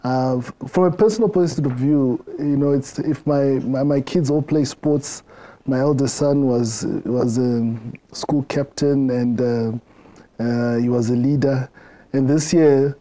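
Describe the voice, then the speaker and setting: A middle-aged man with a Zimbabwean accent, answering interview questions in a reflective way, often halting and repeating words. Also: medium pitch, warm tone. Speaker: interview guest.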